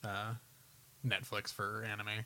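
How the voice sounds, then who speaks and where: A man's voice: on the low side, monotone, takes it slowly, bright, forceful tone. A man hosting a podcast in a casual, conversational way. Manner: informal, conversational. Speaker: podcast host.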